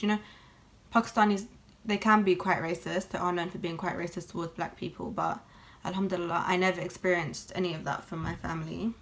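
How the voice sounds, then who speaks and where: Chatty young woman vlogging. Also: fairly low pitch. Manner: chatty and candid, lots of fillers. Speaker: beauty vlogger. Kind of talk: casual get-ready-with-me chat.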